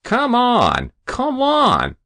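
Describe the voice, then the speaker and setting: A man's voice: very high-pitched, wildly swooping pitch, very slow, bright, forceful tone, no room sound, pitched above the performer's own voice, heavily acted. A brash young American tough with a voice pushed well up from the narration. He sneers and leers through slangy lines, insolent and crude, as in a storybook reading. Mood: impatient, greedy. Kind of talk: literary short story reading.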